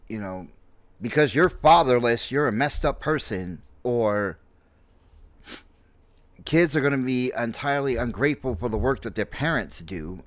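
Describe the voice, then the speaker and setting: A man in his late forties from New York City with an American accent, giving an unscripted personal opinion in a halting, reflective manner and repeating himself for emphasis. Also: mid-range voice, no room sound. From New York City, United States. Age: late 40s. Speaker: streamer, content creator.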